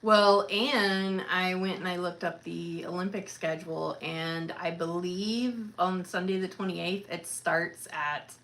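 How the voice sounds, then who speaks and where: Low-voiced woman in her thirties, relaxed. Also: takes it slowly, few pauses, a little hiss or hum, poor audio. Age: 30s. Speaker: co-host of a cruise livestream. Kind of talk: casual livestream chat.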